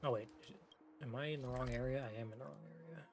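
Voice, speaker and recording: A man's voice: mid-range voice, rapid-fire, vocal fry. A man giving informal, off-the-cuff and joking reaction commentary as he streams a game. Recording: game music behind the voice.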